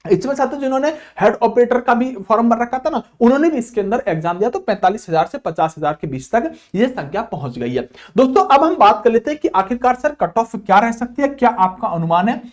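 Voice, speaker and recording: A man's voice: very high voice, expressive, fast. A man teaching an informal video lecture as an exam coach, asking and answering his own questions and repeatedly addressing his listeners as friends.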